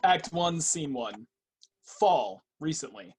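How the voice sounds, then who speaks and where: A man's voice: on the high side, animated, takes it slowly, faint music behind. A man reading scripted stage directions for a play reading.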